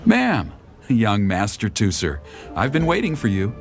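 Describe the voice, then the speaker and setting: A man's voice: lowish voice, wildly swooping pitch, a little slow, creaky, exaggerated voice. A man voicing a dutiful, deferential attendant in a fantasy game, speaking formally and politely. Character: dutiful, deferential male attendant sent as escort. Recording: dialogue over background music.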